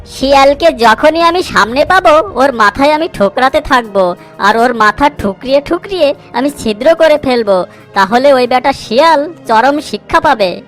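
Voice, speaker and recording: A woman voicing a small, wronged mother bird in an animated moral story, earnest and emotional. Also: sits high, moderate pace, faint music behind. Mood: vengeful, resolute.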